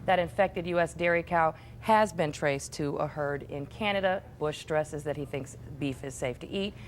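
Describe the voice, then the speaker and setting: A woman's voice: low voice, normal speed, a little hiss or hum. A woman with an American accent, reading scripted news as a television anchor.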